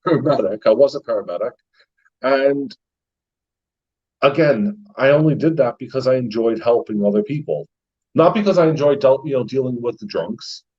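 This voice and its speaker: A man's voice: medium pitch, muffled recording. A middle-aged American man, a retired paramedic, hosting a casual live stream and rambling with fillers and laughs. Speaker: YouTube channel host.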